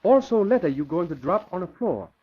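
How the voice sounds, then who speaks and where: High, husky, loyal valet. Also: male voice, sing-song, brisk, exaggerated voice. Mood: brisk, obedient. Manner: clipped broken English, deferential. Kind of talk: 1940s radio acting. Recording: dull lo-fi old radio recording.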